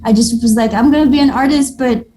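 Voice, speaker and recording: A woman's voice: on the high side, fast talker, mellow. A woman from Moldova whose first languages are Moldovan and Russian, chatting as an interview guest in an upbeat, restarting way. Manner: chatty and upbeat, with frequent restarts and little laughs. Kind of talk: casual interview.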